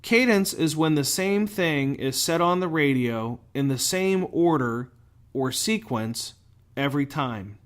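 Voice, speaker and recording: A man delivering scripted instructional narration as a show host, speaking deliberately with clear enunciation and emphatic phrasing. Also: a little high, animated. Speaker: host of a firefighter safety show.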